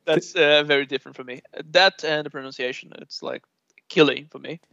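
A man's voice: fairly high pitch, a little fast, gravelly, no room sound. Brazilian man, Portuguese native, easygoing interviewee; muffled dry recording. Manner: relaxed and chatty, laughs often, many filler uhs. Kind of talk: casual conversational interview. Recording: dull lo-fi dry sound.

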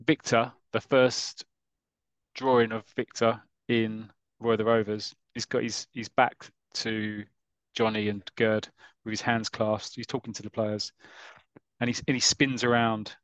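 A man's voice: lowish voice, flat delivery, unhurried, a bit bright, dry recording, low-quality recording. A man hosting a podcast, casually retelling a comic story with lots of ums and restarts. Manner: rambling, lots of ums and restarts, self-mocking.

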